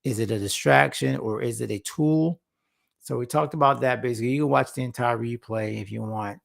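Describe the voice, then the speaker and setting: A man hosting a casual livestream for faith-focused creatives, speaking earnestly and repeating words for emphasis, his voice slightly hoarse. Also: mid-range voice, brisk. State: slightly hoarse after a long session. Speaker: host and founder of a faith-focused creative platform. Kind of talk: casual livestream talk to viewers.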